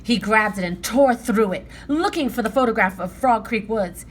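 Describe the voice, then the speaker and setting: A woman narrating a children's story aloud in an expressive read-aloud style. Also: fairly high pitch, moderate pace, slight background noise.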